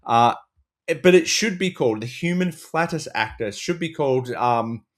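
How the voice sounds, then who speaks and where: A man's voice: medium pitch, animated, normal speed. A man on a comedy podcast panel, riffing on the news in a mock-earnest manner.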